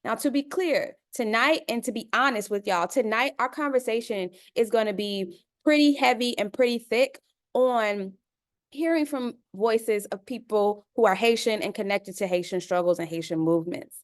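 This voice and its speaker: Woman from chicago hosting a video call panel, smooth clear voice, says y'all a lot. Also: medium pitch. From Chicago, United States. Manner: conversational, addresses listeners as y'all, many uh fillers. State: dry mouth. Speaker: panel facilitator. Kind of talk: online panel introduction.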